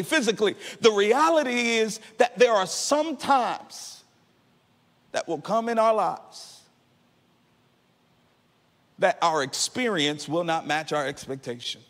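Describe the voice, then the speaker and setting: High-voiced preaching pastor in a hall. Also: male voice, fairly bright tone. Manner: impassioned preaching, half-sung peaks. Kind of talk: sermon. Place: large church stage. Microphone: handheld mic. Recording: long hall reverb.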